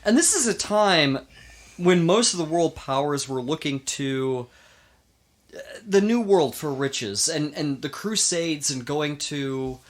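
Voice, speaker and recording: Casual man telling history, slightly high voice. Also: expressive, slow pace. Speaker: podcast host telling history. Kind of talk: casual history storytelling with banter.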